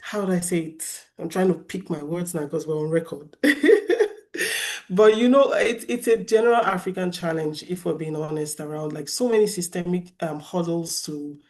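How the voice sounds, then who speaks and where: A woman in her thirties from Nigeria with a Nigerian accent, a lively, chatty artist speaking on a festival panel. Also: on the low side, moderate pace. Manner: lively, chatty, laughs easily. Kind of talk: panel discussion.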